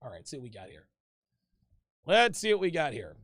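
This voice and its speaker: A man with a fairly high voice hosts a call-in advice show in a loose, chatty way. He repeats words, restarts his sentences and keeps calling people "dude". The sound is close and dry, with no room around it. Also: big pitch swings, fairly quick, a bit bright, no room sound. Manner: casual, repeats himself, calls people "dude".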